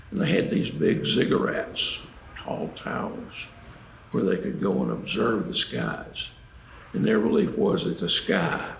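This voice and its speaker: An older man preaches through storytelling, his low voice very gravelly and creaky. He is folksy and emphatic, swooping widely in pitch and pausing for effect. The room adds echo. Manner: folksy, dramatic pauses and emphasis. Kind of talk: devotional holiday storytelling to an audience. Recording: roomy echo, faint background noise.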